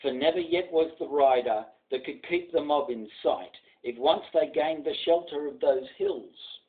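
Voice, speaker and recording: A man's voice: a little high, measured pace, narrow, tinny audio, lightly acted. A man with an Australian accent reciting a poem as a stern old bush horseman, commanding and blunt.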